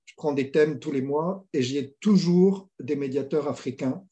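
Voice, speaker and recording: Guy talking mediation, mellow warm voice, slow and thoughtful, lots of restarts. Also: on the high side. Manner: thoughtful, halting, many restarts. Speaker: mediator, guest. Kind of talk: conversation about mediation.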